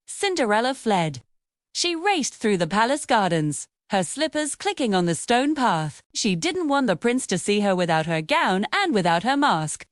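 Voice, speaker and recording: A woman narrating a fairy tale for children in a scripted, expressive, sing-song storytelling manner. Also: mid-range voice, dry recording, studio quality.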